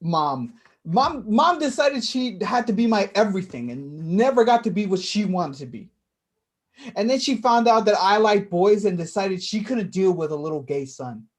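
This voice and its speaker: A woman's voice: medium pitch, sing-song, moderate pace, natural voice. A woman playing a wounded, defensive, self-blaming younger brother in a naturalistic staged play reading. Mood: hurt, bitter.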